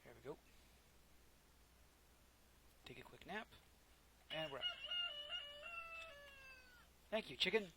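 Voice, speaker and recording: A soft-spoken man casually narrating his own gameplay, rambling and correcting himself as he goes, close to a desk microphone. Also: on the high side, animated, measured pace, creaky, very noisy. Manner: rambling, self-correcting, chatty. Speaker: gameplay commentator. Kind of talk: casual let's play commentary.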